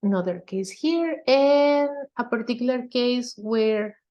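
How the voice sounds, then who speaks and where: Slow, dark-voiced woman presenting research. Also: fairly high pitch, dull audio. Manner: halting, frequent hesitations. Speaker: researcher presenting a conference talk. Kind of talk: technical slide presentation.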